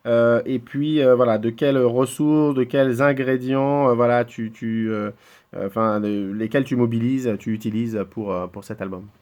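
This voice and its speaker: A man interviewing a guest, putting prepared questions in measured, somewhat hesitant phrasing. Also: mid-range voice, fairly even intonation, a little mellow.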